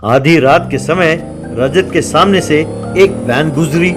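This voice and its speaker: A man's voice: on the high side, slow pace, over music. A man reading scripted narration for a children's cartoon story.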